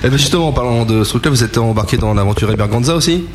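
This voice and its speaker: A man's voice: medium pitch, animated, rapid-fire, heavy background noise. A man hosting a radio show, interviewing guests in a casual, bantering style.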